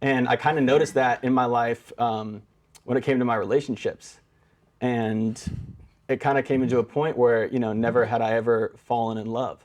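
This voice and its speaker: Young American male guest, mid-pitched voice, informal meandering storyteller. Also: steady tone, normal speed. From Brazil-born, raised in the San Francisco Bay Area. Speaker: guest singer-songwriter. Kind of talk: casual storytelling.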